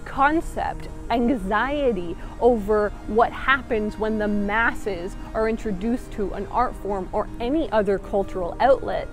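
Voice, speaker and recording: Earnest young woman narrating a documentary. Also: mid-range voice. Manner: earnest, measured, explanatory. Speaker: documentary maker and interviewer. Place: outdoors by a stone wall. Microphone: lapel mic. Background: background music.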